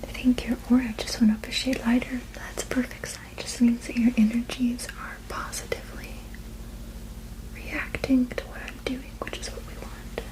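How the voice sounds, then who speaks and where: A woman whispering gently and reassuringly in an ASMR roleplay as a soothing witch healer, with a breathy, unvoiced voice. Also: a little high, flat delivery, normal speed, poor audio. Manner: gentle, soothing, reassuring, repeating soft words. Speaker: ASMR performer.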